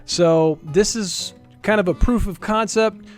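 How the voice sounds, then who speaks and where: Male developer, fairly high voice, informal and folksy screen-share walkthrough, guitar music behind him. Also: a little slow. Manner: informal and folksy, talks viewers through each step. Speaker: software developer presenting a demo. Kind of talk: casual screen-share tech demo narration.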